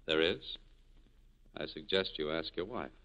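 A guarded, world-weary male saloon keeper in a 1940s radio play. The voice is deep and forceful, with terse, wry delivery. The old broadcast recording is dull and lo-fi. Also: a little slow. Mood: guarded, firm.

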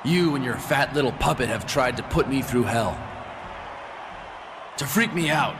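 A man playing a cocky, defiant young wrestler in a video game, with an emphatic, deliberate delivery. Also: medium pitch, lively intonation, noisy background, lightly acted. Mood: confident defiance. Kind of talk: video game cutscene promo acting.

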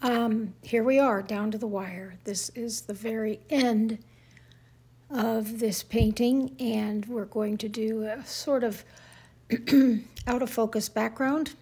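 An older woman with a raspy voice, calmly talking through a watercolor demonstration, sometimes dropping to a near whisper. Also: a little high, slow. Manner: soft-spoken, careful, drifting into near-whisper while working. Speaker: watercolor painting teacher. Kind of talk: calm painting demonstration.

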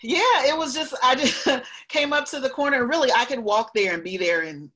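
A woman's voice: high-pitched, normal speed, a bit bright. A woman answering interview questions conversationally as a guest, reflective and often checking in with a questioning tag. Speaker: guest, professor and author.

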